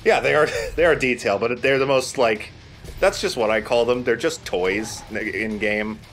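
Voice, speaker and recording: A man's voice: medium pitch, normal speed, fairly bright tone, soft background music. A man hosting a let's play, talking casually as he comments on a video game.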